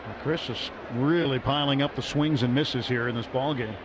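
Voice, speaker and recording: A man calling a baseball game on the radio as play-by-play announcer, brisk and lifting with excitement on big plays. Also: medium pitch. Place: ballpark broadcast booth. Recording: muffled broadcast audio with crowd noise.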